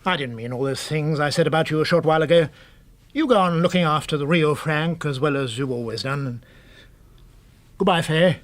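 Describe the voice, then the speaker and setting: An actor playing an excitable, articulate middle-aged British husband in a science-fiction radio drama. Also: male voice, fairly high pitch, fairly quick, natural voice. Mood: wistful farewell. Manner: theatrical, articulate, indignant.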